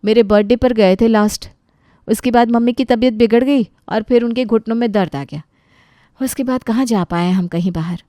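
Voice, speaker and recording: Woman as young housewife, high-pitched, exasperated, practical, family story dialogue, dry close sound. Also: normal speed, dark tone, no room sound, natural voice.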